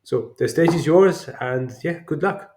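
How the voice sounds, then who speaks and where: A man's voice: on the high side, a little slow, darkish tone. A man hosting an online conference, introducing the next speaker in a loose, friendly way with fillers. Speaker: event host.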